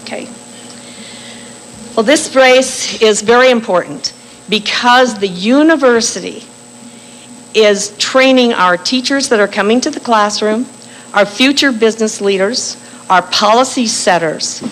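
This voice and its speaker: Older woman making a firm campaign pitch. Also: a bit bright, a little hiss or hum, poor audio. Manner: firm, deliberate, slows down for emphasis. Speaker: political candidate. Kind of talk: campaign speech at a public forum. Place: bakery cafe forum room.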